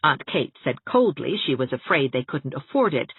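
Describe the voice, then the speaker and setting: A woman's voice: rumbling low, big pitch swings, moderate pace, husky, no echo. A woman narrating an audiobook, reading scripted story prose expressively. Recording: narrow, phone-like audiobook sound.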